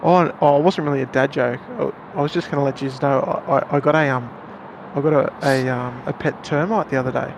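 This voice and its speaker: A man's voice: mid-range voice, fairly even intonation, fairly quick, lo-fi sound. An Australian man co-hosting a live stream, bantering casually in a laid-back, joking way. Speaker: stream co-host. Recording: outdoor ambience, clear vehicle noise.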